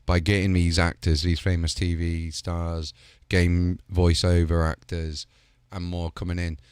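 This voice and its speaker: Man, chatty, enthusiastic, deep voice, dry sound. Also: level tone, measured pace, a little mellow, clean tone, no echo. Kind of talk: casual promotional chat.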